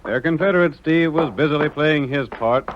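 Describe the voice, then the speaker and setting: A man's voice: a little high, moderate pace, noisy background, natural voice. A man acting as the formal, theatrical narrator of an old-time western radio adventure, in scripted dramatic style. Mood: suspenseful. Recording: dull vintage radio recording.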